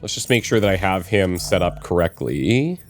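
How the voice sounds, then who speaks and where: A man with a slight cold and a touch of rasp talks through his game plan, thinking aloud with plenty of fillers. Faint music plays underneath. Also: sits low, lively intonation, normal speed. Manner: musing aloud, planning with fillers. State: slight cold, a bit raspy. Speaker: let's play host. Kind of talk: casual gameplay commentary.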